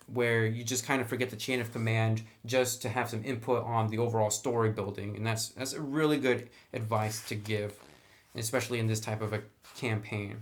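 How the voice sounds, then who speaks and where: A man giving a casual, unscripted review of a roleplaying book in a conversational, enthusiastic way. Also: mid-range voice. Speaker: reviewer of tabletop roleplaying books.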